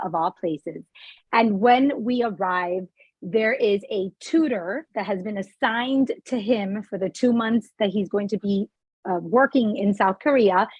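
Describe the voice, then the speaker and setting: A woman in her forties hosting an interview, telling stories in an animated way over a video call. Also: mid-range voice, breathy edge, no room sound, dull audio. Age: 40s. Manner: animated, storytelling. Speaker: podcast host. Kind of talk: conversational interview.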